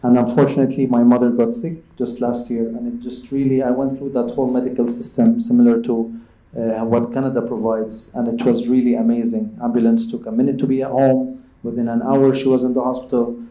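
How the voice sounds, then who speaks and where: Man with very dark, echoey voice. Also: medium pitch, little pitch movement, brisk. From Qatar. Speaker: panelist, property developer. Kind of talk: panel presentation, semi-spontaneous. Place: conference panel, indoors. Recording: echoey room sound.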